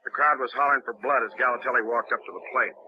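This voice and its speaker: An actor playing a firm, gruff veteran baseball umpire in an old-time radio drama, with a curt, authoritative delivery. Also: male voice, medium pitch, fairly even intonation, racing, close to an everyday voice. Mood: recounting. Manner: curt, authoritative, barking calls. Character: veteran male baseball umpire, firm, gruff, narrating his own story. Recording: muffled old radio recording, faint noise.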